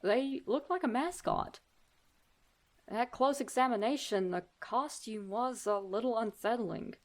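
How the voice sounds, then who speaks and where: A woman host reading horror stories aloud in a deliberate, theatrical narrating style. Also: medium pitch. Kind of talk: dramatic scripted story narration.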